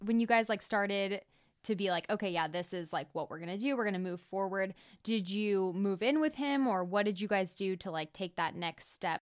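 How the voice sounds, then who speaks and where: A woman's voice: medium pitch, moderate pace, smooth. Cohost woman, friendly, talks right at you, mid voice. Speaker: podcast co-host. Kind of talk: casual podcast hosting.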